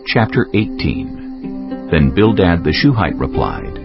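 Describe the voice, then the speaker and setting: Male scripture narrator, low gravelly voice, chapter announcements, guitar music behind, dull recording. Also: huge pitch swings, a little slow. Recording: dull lo-fi audio. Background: plucked guitar music.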